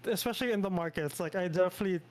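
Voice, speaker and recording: Chatty Filipino man, fairly high voice. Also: rapid-fire. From Philippines. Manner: chatty, lots of fillers and restarts. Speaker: streamer and VTuber host. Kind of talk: casual collab stream chat.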